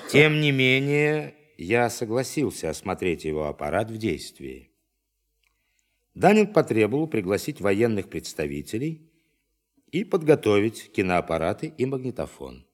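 An actor playing a forceful science official who addresses a meeting formally and emphatically in a radio play. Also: male voice, lowish voice, big pitch swings, normal speed. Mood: earnest, emphatic.

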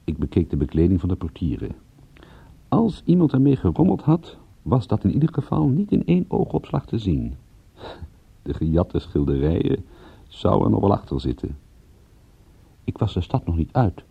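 A wry, laconic private detective in a radio drama. His voice is very low and gravelly, with lively swings in intonation. The recording is dull and lo-fi. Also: moderate pace, long pauses, heavily acted. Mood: dry narrating. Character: wry male private detective narrating his own case. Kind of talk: radio play acting with first-person narration.

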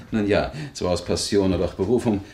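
An actor playing a smarmy, pushy middle-aged land buyer in a radio crime drama, speaking with flowery, hesitant politeness. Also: male voice, low voice, moderate pace, a little mellow, close to an everyday voice. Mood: unctuous.

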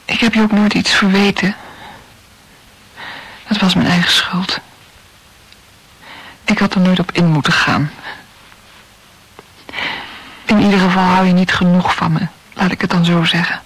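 Casting: hurt, reproachful young female lover for radio drama, plaintive, breathy voice. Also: fairly low pitch, fairly even intonation, normal speed, pauses often, poor audio. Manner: plaintive, accusing. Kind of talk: intimate radio play dialogue.

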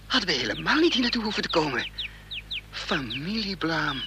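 A man's voice: fairly high pitch, very animated, moderate pace, noisy background. An actor playing a resolute, bitter man on the run in a radio drama, with intense, clipped, dramatic delivery. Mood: dawning realisation. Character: hunted male amnesiac double, resolute, driven, bitter. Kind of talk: radio drama acting with first-person narration.

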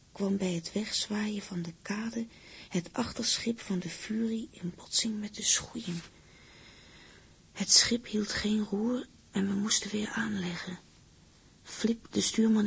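Sincere letter-writing seaman, husky near-whisper with gravel, intimate radio play monologue. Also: high voice, exaggerated voice.

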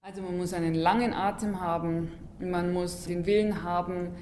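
Lowish-voiced woman in an echoey room. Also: fairly even intonation, moderate pace, a little mellow. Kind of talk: casual interview answer on literature. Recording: echoing room, faint background noise.

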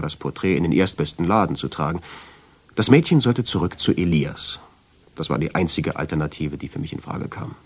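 An actor playing a brooding, guilt-ridden man who tells his own story in a measured, literary way in a radio drama. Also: low voice, a little mellow. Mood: wry, resigned. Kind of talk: scripted first-person radio drama narration.

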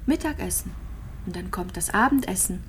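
An actress playing a terminally ill patient in a radio play, musing in a plain, halting, intimate way, resigned yet clinging to hope. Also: female voice, lowish voice, expressive, slight background noise, close to an everyday voice. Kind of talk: radio drama monologue, intimate and naturalistic.

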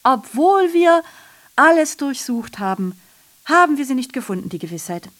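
A woman's voice: high voice, sing-song, normal speed, a bit bright. A woman acting a sardonic messenger in a literary radio play, declaiming ironically and constantly correcting herself. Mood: mock-festive, declamatory. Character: sardonic female messenger reporting atrocities, digressive and self-correcting. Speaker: radio drama performer. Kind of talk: literary radio play monologue, theatrical narration.

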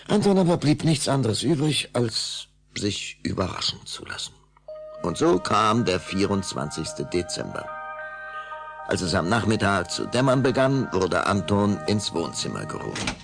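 A man narrating a scripted story dramatically, with measured phrasing and suspenseful pauses. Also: on the low side, gravelly.

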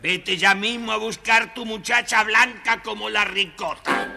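An actor playing an old, fretful king and doting father in a children's audio tale, creaky and exasperated. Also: high voice, measured pace, loud music behind the voice. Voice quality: creaky, sharp. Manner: stammering, pleading, exasperated.

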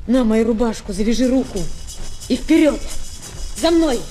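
A woman's voice: fairly high pitch, normal speed, mellow, faint music behind, close to an everyday voice. An actress playing a brave, tender young boy in a children's audio drama. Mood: warm, grateful. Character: brave, tender young boy who loves his pet boa. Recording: old flexi-disc record.

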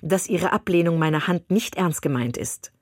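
A woman's voice: on the low side, normal speed, a little mellow, no echo, clean studio sound, lightly acted. Mr Collins, a woman reading a pompous clergyman suitor. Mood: insistent. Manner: pompous, long-winded, condescending. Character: pompous self-satisfied male clergyman suitor. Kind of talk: audiobook reading of a classic novel.

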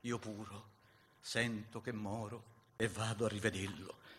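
An actor playing a grieving Garibaldian veteran, reciting dramatic verse with a Roman accent in an impassioned way that swings between hushed and loud. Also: male voice, lowish voice, flat delivery, a little slow, long reverb tail, a little hiss or hum. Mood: anguished, pleading. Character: grieving Garibaldian veteran recounting a bloody battle. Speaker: actor reciting a dialect poem.

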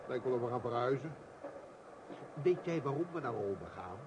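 Man acting grumpy sarcastic husband in radio drama, mid pitch, noisy background. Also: very animated, normal speed, natural voice. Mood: irritated, sarcastic. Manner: grumbling, sarcastic. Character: grumbling, sarcastic husband on a tiresome train journey. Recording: dull old recording, clear noise.

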